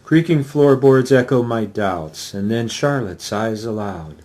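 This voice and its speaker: A man's voice: medium pitch, expressive, a little slow, a little mellow. A man, the author, giving a dramatic reading of his first-person novel.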